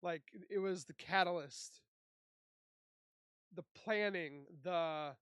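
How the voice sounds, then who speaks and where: A woman giving casual, unscripted commentary as a host, halting as she searches for words. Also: fairly low pitch, smooth, no room sound, studio quality.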